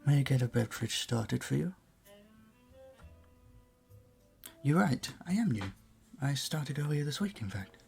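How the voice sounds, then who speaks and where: A man's voice: medium pitch, very animated, a little fast, vocal fry, a little mellow, faint music behind. An actor playing a charming, flirtatious vampire bartender in an intimate audio roleplay, suave and teasing as he speaks to the listener. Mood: welcoming, smooth.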